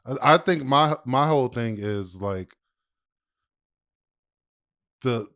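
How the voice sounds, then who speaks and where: Casting: American male podcast co-host, mid-range pitch, agreeable, slangy, freely swearing. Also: expressive, slow pace, a bit bright. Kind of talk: casual podcast chat.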